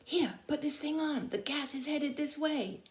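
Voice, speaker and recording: A woman's voice: sits high, measured pace, pitched above the performer's own voice. A woman voicing a plucky, alert male bull terrier in a children's storybook. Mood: urgent. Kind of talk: children's storybook read-aloud.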